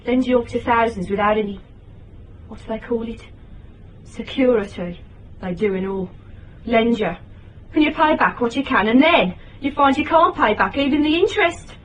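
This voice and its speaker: An actress playing a frightened young British single mother in radio drama, speaking in a halting, rambling way. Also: fairly high pitch, distant mic, slight background noise, lightly acted, rough recording. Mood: distressed, desperate. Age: young adult.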